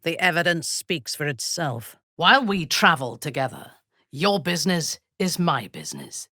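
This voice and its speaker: Husky, imperious dark elf warrior woman. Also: sits low, very animated, no room sound, studio quality, exaggerated voice. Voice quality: husky, breathy. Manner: imperious, deliberate, formal diction. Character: haughty, ruthless drow elf warrior woman, commanding and menacing. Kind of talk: video game voice acting, dramatic character lines.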